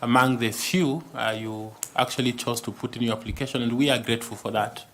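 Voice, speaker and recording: A man's voice: mid-range voice, fairly even intonation, a bit bright, a little hiss or hum. Courteous man chairing a panel. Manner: courteous, deliberate, formal. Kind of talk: formal panel interview opening. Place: interview room. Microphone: table microphone.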